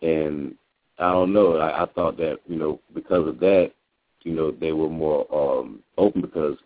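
American male co-host with a very deep voice, halting casual delivery, dry tinny phone audio. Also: steady tone, no echo. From United States. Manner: halting, filler-heavy storytelling. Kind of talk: casual call-in radio talk.

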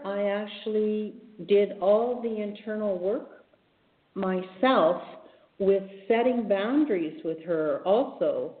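A woman speaking as a guest healer in a casual interview, telling her story earnestly and reflectively, with restarts. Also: mid-range voice. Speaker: podcast guest, spiritual healer. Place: home in a village, remote call. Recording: echoey, phone-like remote line.

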